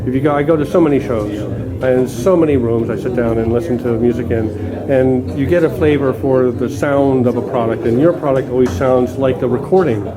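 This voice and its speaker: A man's voice: medium pitch, fairly quick, hardly any pauses, a crowd in the background, low-quality recording. A man interviewing at a hi-fi showroom, talking in an effusive, praising way. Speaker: interviewer, show reporter. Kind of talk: enthusiastic interview chat. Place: indoor hi-fi showroom.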